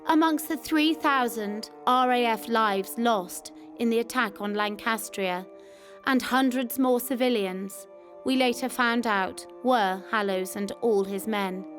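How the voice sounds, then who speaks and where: A woman with a fairly high voice reads a scripted memoir as a narrator. Music plays clearly behind her as she tells the first-person wartime account. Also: unhurried, fairly bright tone.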